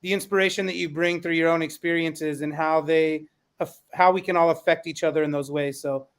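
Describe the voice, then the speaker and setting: A man's voice: on the high side, steady tone, fairly quick. A man co-hosting a podcast, an educator giving earnest thanks to the panel. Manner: earnest, grateful. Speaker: co-host, educator. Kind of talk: closing thank-you remarks.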